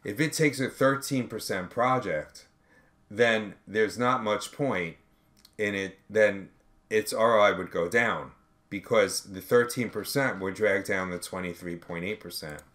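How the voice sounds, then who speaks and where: A man working step by step through an accounting tutorial as an instructor, in a measured way, reasoning aloud as he calculates. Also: on the low side, a bit bright. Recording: background noise with keyboard typing clicks.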